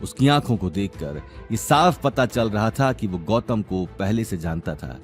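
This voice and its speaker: A man's voice: lowish voice, animated, a little slow, faint music behind. A man narrating a scripted audio drama series in a dramatic storytelling style.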